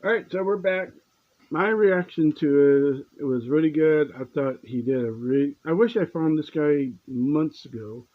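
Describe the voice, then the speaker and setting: A man's voice: a little high, a little slow. A man hosting a reaction video with casual, off-the-cuff commentary and jokey asides. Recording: slightly dull sound, faint noise.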